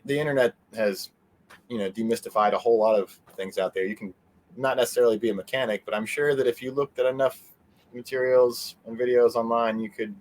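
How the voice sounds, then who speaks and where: A middle-aged man chatting casually as an interview guest, easygoing and meandering in how he talks. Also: mid-range voice. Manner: easygoing, meandering, chuckles often. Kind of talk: casual chat.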